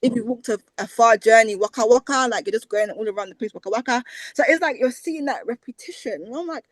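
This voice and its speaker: A woman's voice: on the high side, quick pace, no room sound, poor audio. A British woman, a language researcher, talking animatedly as a guest in a conversational interview. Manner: animated, repeats words for emphasis.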